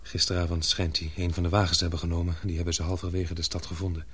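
An actor playing a calm, protective, affectionate man in a radio drama, speaking in a reassuring, measured way. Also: deep voice, slightly rough. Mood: gentle, informative. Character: Paul, calm, protective, affectionate male sweetheart.

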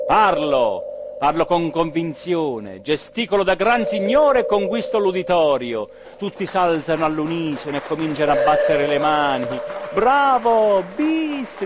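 Anxious high-pitched small-town mayor, Gerardo. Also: male voice. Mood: exultant. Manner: dramatic, swinging between muttering and loud declaiming. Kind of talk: theatrical monologue in a radio play. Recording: very noisy radio drama recording with ambience.

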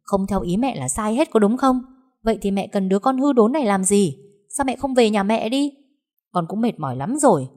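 A woman voicing an angry grown daughter who talks back with shrill, accusing, tearful words. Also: animated, brisk, a little mellow. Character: angry grown daughter, sharp-tongued and bitter.

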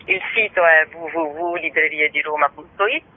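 A woman's voice: sits low, normal speed. A woman being interviewed on the radio as a guest bookseller. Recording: muffled narrow phone line with noise.

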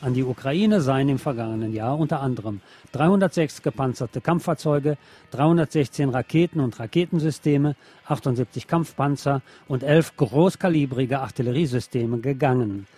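A man reading scripted news in a measured, formal manner. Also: mid-range voice, dark tone, slightly rough. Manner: measured, formal read-out delivery. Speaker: news reader.

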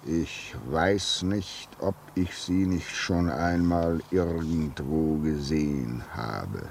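A male actor playing a lonely, fearful and suspicious railway signalman in a German radio play, brooding and halting with sudden intensity. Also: a bass voice, creaky, a little mellow, a little hiss or hum, exaggerated voice.

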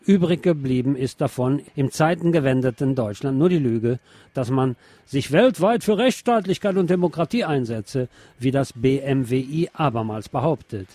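A male radio presenter reading out press reports and commenting on them in a deliberate, emphatic way. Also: mid-range voice, lively intonation, mellow, no echo. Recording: music behind the voice. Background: background music.

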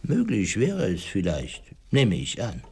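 An actor in a German radio play playing a courteous detective who questions calmly and deliberately. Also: male voice, lowish voice, breathy edge, exaggerated voice. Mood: measured. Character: measured, probing, courteous male detective.

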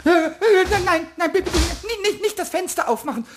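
A man's voice: lively intonation, moderate pace, music in the background. A man voicing a small, cheeky male kobold in a radio play. He stutters, repeats words, whines and makes up little rhymes. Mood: pleading. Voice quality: high, husky, stammering.

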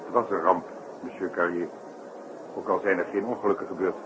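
A man's voice: deep voice, fairly even intonation, a little fast, background noise, close to an everyday voice. An actor playing a grave, exasperated male circus director in a 1960s radio drama, speaking formally and with weighty emphasis.

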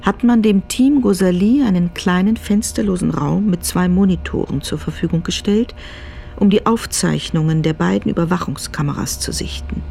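A woman's voice: on the low side, normal speed, vocal fry, dark tone, a little hiss or hum. A woman narrating a scripted radio drama in a matter-of-fact manner.

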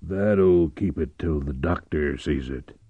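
Audiobook role: dutiful, gruff sergeant, bottom-of-the-range voice, plain-spoken, slightly muffled recording. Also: male voice, takes it slowly, no echo, heavily acted. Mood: driven, practical. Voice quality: low, rough, husky. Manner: plain, terse, deferential. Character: gruff, dutiful male sergeant bodyguard, grimly apologetic. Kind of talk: audiobook character voice.

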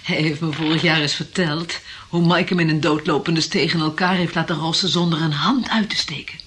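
A woman's voice: low-pitched, steady tone, fairly quick, fairly bright tone, a little hiss or hum. An actress playing a scornful, vengeful woman scheming in a radio drama, conspiratorial and contemptuous.

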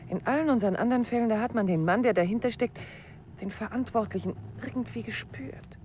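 An actress playing a detective's quick-witted wife in a 1950s radio play, probing and gently reproachful. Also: female voice, a little high, slight background noise, natural voice. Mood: thoughtful.

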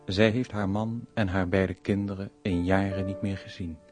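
A man narrating a radio drama in a measured, scripted storytelling manner. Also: low voice, steady tone. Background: piano music.

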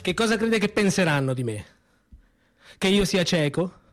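A man acting a male office secretary who ironically denies seeing anything, delivering a theatrical monologue with mock-innocent denials and measured pauses. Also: sits high, animated. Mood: sly, ironic. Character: male office secretary feigning ignorance, sly. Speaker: stage actor.

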